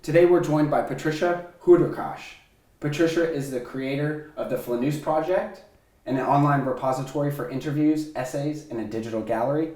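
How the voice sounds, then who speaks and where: A man's voice: fairly high pitch, a little fast, a little mellow, reverberant. A man hosting an interview podcast, asking loose, rambling questions full of fillers. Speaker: podcast host. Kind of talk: casual interview.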